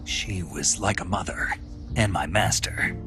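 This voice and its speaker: A man with an American accent voicing a gruff, stoic special-forces soldier in a video game, gravelly, terse and guarded. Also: deep voice, a little slow. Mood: guarded, solemn. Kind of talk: video game cutscene voice acting. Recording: music behind the voice.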